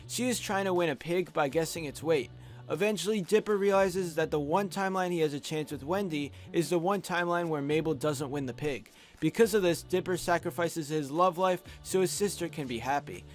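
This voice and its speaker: High-pitched young man narrating a countdown. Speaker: video host and reviewer. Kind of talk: scripted top-five countdown commentary. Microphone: close USB studio microphone.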